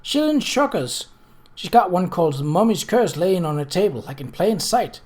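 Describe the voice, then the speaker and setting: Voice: medium pitch, sing-song, normal speed, darkish tone, pitched above the performer's own voice, lightly acted. A reader voicing a timid, fretful young maid in an audiobook, with plaintive servant speech. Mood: eager gossip. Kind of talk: acted audiobook dialogue.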